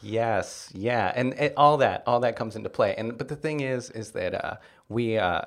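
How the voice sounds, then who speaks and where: A man in his forties, a comedian guest chatting casually on a podcast, telling animated stories with wry jokes and frequent laughs. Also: mid-range voice, normal speed.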